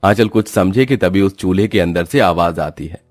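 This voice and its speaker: A man's voice: low voice, moderate pace, no echo, faint music behind. A man narrating a scripted cartoon story.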